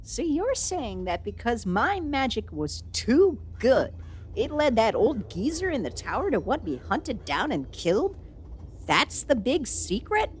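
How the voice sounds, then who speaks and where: A woman's voice: a little high, big pitch swings, a little slow, a little hiss or hum. A woman playing a proud, youthful immortal villain sorceress in a fantasy audio roleplay, theatrical, haughty and easily put on the defensive. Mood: offended.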